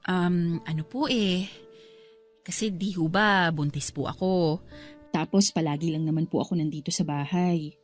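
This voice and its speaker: A woman's voice: lowish voice, moderate pace, lightly acted. An actress playing a young pregnant woman in a Filipino radio drama, polite, earnest and pleading, with faint music underneath. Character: pregnant young woman, kind worried neighbor.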